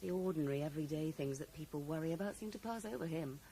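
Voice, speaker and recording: A woman's voice: low voice, quick pace, dark tone. An actress playing a loyal, defensive young woman recovering in hospital in a 1980s radio drama, pointed and wistful in her delivery. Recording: slightly noisy old radio broadcast recording.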